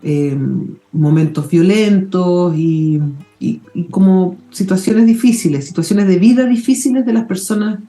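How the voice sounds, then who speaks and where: Relaxed woman with a mellow voice. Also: mid-range voice, echoey room, soft background music. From England. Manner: relaxed, thoughtful, pauses with fillers and restarts. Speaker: guest mycologist. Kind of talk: casual interview talk.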